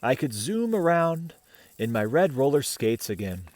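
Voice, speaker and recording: A man reading a children's picture book aloud as an expressive storyteller, pausing for casual asides and questions to the listener. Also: mid-range voice, no echo.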